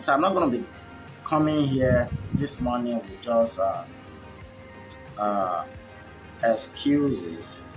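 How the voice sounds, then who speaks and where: A man, a Yoruba speaker, delivering a rambling, preachy monologue full of fillers and restarts. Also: mid-range voice. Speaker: commentator. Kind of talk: unscripted monologue to camera.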